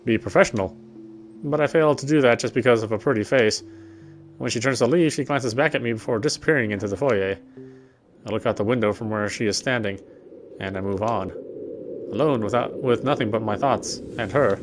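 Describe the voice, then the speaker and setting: A man's voice: mid-range voice, fairly quick, slight background noise. A man reading a visual novel's narration aloud as he plays it. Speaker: game player reading the text aloud. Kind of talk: scripted narration read aloud in a gameplay video. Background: game music.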